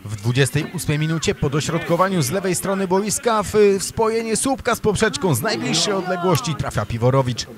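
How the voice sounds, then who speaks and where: A man reading a scripted voice-over of youth football match highlights, narrating each play in steady Polish. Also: brisk, hardly any pauses. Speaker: match commentator.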